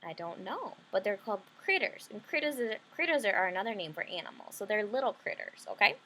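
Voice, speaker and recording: A woman's voice: medium pitch, sing-song, brisk, bright, forceful tone, a little hiss or hum, rough recording. A woman leading an interactive read-aloud lesson for children, explaining simply and often checking in with questions. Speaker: teacher reading a picture book to children.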